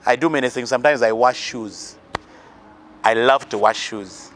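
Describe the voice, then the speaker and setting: A man's voice: medium pitch, normal speed, a bit bright, a little hiss or hum. A musician from northern Uganda with a Ugandan accent, earnestly giving life advice and talking about his influences in an interview, with many fillers and restarts. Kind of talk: interview answers.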